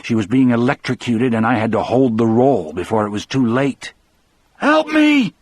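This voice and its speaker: A man narrating a dramatic first-person audiobook as a grief-stricken elderly former prison guard, in a measured, somber way. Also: medium pitch, big pitch swings, no echo. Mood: anguished, screaming.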